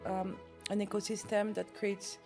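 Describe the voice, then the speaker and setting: A woman's voice: mid-range voice, level tone, unhurried, gravelly, music in the background. A woman founder answering interview questions in a casual, thoughtful way, with frequent fillers and restarts. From France. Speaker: startup founder.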